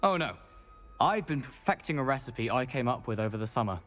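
Man as an affable British wizard schoolboy, lively. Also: mid-range voice, brisk, lightly acted. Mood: confiding, easygoing. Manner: friendly, informal. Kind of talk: video game character dialogue. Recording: music behind the voice.

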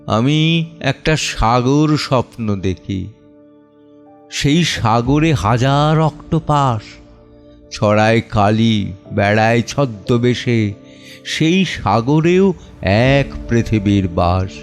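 A man acting an anguished, humble middle-aged clerk and father in an audio drama monologue, theatrical and deliberate, pausing between short phrases. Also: medium pitch, animated. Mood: solemn reciting. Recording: music under the voice. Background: background music.

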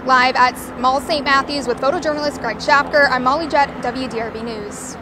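High-voiced young woman doing a live field report outside, traffic noise behind, handheld mic. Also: moderate pace. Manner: steady, plain reporting with some restarts. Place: outdoors in a mall parking lot.

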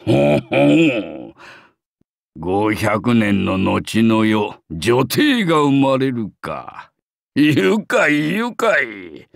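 A very slow, gravelly old sage from a game. He sounds grand and imperious, with an amused, archaic turn of phrase and an animated low pitch. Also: no room sound, clean studio sound, full character voice. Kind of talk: game character acting.

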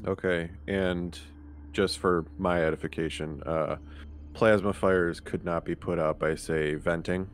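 A man's voice: low-pitched, fairly even intonation, a little slow, a little hiss or hum. A man chatting casually as a player in a tabletop game, tentative and questioning.

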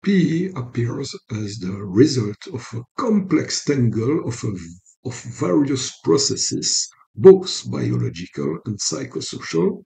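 A middle-aged man with a French accent explains a clinical topic in a careful, measured way, as if lecturing over slides. His pitch rises and falls a great deal, and his voice has a gravelly edge. He sounds like he is speaking into a desk webcam at home. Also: big pitch swings, mellow, poor audio. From Belgium. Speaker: psychologist and sex therapist.

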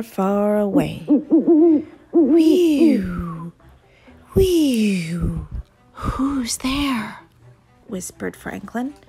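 A woman narrating a children's storybook aloud in a measured, expressive way. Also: medium pitch, vocal fry, husky. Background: soft music.

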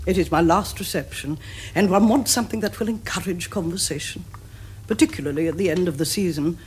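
A woman's voice: fairly low pitch, brisk, a little mellow, close to an everyday voice. A middle-aged woman with an upper-class English accent playing a haughty, imperious Victorian aunt in a period comedy, delivering stately pronouncements. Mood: imperious disapproval. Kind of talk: period stage comedy acting. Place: furnished drawing room set. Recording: noisy old television soundtrack.

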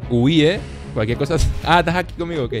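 A young man from Venezuela doing casual, jokey gaming commentary into a boom mic in a small home studio. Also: quick pace, faint music behind. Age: young adult. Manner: excitable, jokey, then chatty with teammate. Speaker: gaming youtuber. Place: small bedroom studio indoors. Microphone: boom mic with headphones.

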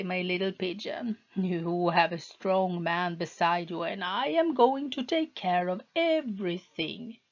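A woman's voice: mid-range voice, vocal fry, heavily acted. A woman reading a condescending, possessive husband. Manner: condescending, sweet-talking. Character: controlling, volatile husband, possessive and cruel. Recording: dull lo-fi recording.

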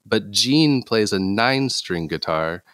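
A man's voice: lowish voice, expressive, takes it slowly, a bit bright, dry recording. A man hosting a music interview podcast, asking casual questions and laughing lightly. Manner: friendly, laughs between questions. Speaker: podcast host.

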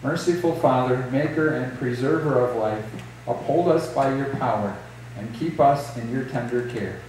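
A middle-aged man, a pastor, leading liturgy and reading scripture in a measured, solemn way. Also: mid-range voice, a little mellow, slight background noise. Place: small church sanctuary. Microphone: distant camera, later lectern mic. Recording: echoey church from a distance.

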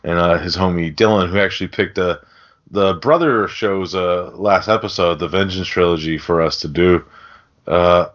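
Deep voiced guy rambling on a movie podcast, slangy, kinda muffled lo-fi sound. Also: steady tone, normal speed, a bit bright. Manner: rambling, slangy, swears casually. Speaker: podcast host. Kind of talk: casual film podcast chat. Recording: dull, lo-fi podcast audio.

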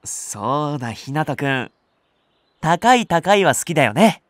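An actor playing an earnest, friendly young rookie nursery teacher who is eager and apologetic, in an anime-style audio drama. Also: male voice, fairly high pitch, sing-song, measured pace, a bit bright, dry recording, clean studio sound. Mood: eager, kindly. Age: young adult.

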